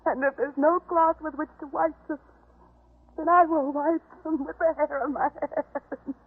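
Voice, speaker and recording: An actress playing a distraught, devoted repentant sinner in a 1950s radio drama, speaking slowly with pleading fervor. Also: female voice, high voice, fairly even intonation. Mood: fervent devotion. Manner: slow, fervent, pleading. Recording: muffled phone-like 1950s radio recording.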